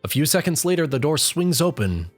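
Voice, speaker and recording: A woman's voice: low-pitched, big pitch swings, fairly quick. A woman reading a story aloud as scripted second-person narration. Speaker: fan fiction reader. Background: faint music.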